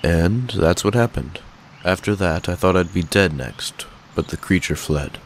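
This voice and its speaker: A man's voice: low voice, lively intonation, measured pace, slight background noise. A man voicing a stoic, guarded knight with a secretly tender side, speaking formally and with reserve in a dramatic cartoon comic dub. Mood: somber, regretful.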